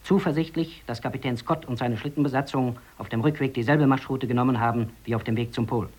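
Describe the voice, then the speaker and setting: An actor playing a rescue expedition member reading a formal, telegraphic status report in a 1950s German radio drama. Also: male voice, mid-range voice, fast talker, darkish tone, natural voice. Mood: factual, confident. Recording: dull, band-limited old radio sound.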